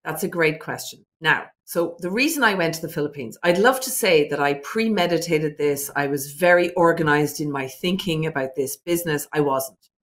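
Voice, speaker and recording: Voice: mid-range voice, moderate pace, a bit bright. Earnest guest laying out numbered points. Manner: earnest, reflective, lays out points in order. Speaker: business owner guest. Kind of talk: interview answers.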